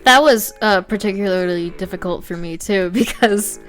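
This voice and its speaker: A woman's voice: mid-range voice, normal speed. A woman giving casual, informal artist commentary in a conversational way. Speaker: artist narrating own speedpaint. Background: background music.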